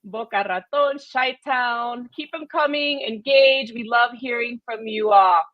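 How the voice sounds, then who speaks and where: A woman with a high voice hosts a livestream in a casual, upbeat way. She mixes Spanish phrases into English as she engages her online audience. The sound is dry and somewhat dull, like lo-fi call audio. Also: a little slow, no echo. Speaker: host of a livestream chat show.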